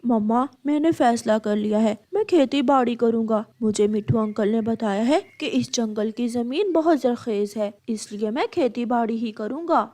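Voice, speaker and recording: Woman voicing an earnest high-pitched young boy bird. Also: moderate pace, hardly any pauses, mellow, natural voice. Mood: determined. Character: hardworking, earnest young male bird, eager and determined. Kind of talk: cartoon story character dialogue.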